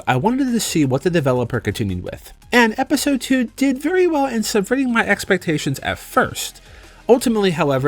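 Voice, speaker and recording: Animated higher-voiced man reviewing a game. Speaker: video game reviewer, channel host. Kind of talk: semi-scripted review narration. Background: background music.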